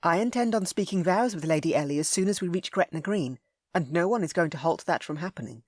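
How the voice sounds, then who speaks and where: A woman voicing a kind, honourable gentleman who speaks politely. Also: on the low side, animated, fast, no room sound, clean studio sound. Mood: courteous. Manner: polite, accommodating.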